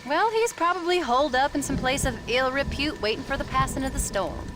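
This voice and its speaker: An actress playing a sharp-tongued American frontier wife and mother, sarcastic and mock-sweet. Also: high-pitched, brisk, over music, lightly acted, rough recording. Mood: dry, unworried. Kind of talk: video game cutscene acting.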